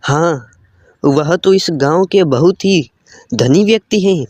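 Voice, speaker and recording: Cartoon story role: poor, modest young male suitor done by a narrator, hesitant, mid-pitched, slow. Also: darkish tone, no room sound. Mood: polite.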